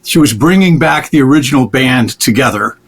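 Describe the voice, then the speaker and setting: A man hosting a casual interview, asking questions in a halting, conversational way. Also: mid-range voice, expressive, creaky, slightly rough, rough recording. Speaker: interviewer, show host.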